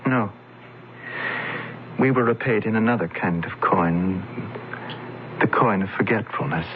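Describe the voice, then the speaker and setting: A man's voice: fairly low pitch, a little slow, noisy background, natural voice. An actor playing a stern, principled male alien in a radio drama, formal and declarative. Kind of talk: 1970s radio drama acting. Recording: tinny lo-fi old radio broadcast.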